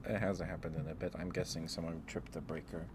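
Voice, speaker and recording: A man hosting a casual ASMR livestream, chatting to viewers in a gentle, apologetic, rambling way. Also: sits low, little pitch movement, fast talker. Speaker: livestream host. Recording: clearly noisy background.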